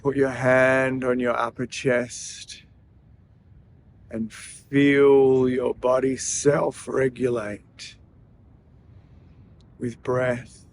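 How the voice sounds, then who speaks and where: A man leading a guided breathing meditation in a soothing, gentle manner, leaving long pauses between phrases. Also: medium pitch, level tone, creaky, a little hiss or hum.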